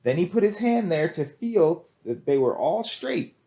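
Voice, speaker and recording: A man tells a children's story in a high voice with very animated intonation. He goes slowly and deliberately, leaving dramatic pauses and leaning on repeated words. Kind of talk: expressive picture-book storytelling for children.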